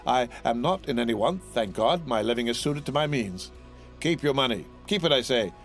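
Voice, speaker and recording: A man's voice: mid-range voice, lively intonation, normal speed, faint music behind, natural voice. A man voicing a grinning, envious young tailor in a storybook, effusive and over-familiar, repeating himself. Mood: sly, effusive. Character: grinning, wheedling tailor neighbor, slyly envious man in his twenties.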